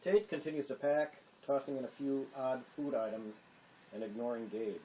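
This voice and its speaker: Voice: mid-range voice, fairly even intonation, normal speed, warm tone. Stage-direction narrator with noisy background. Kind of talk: scripted narration. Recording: clear background noise, room ambience.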